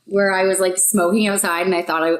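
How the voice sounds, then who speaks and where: A woman from Ontario, Canada, guest co-hosting a podcast in casual chat from a bedroom, quick and teasing and often laughing. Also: medium pitch, little pitch movement. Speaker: guest co-host.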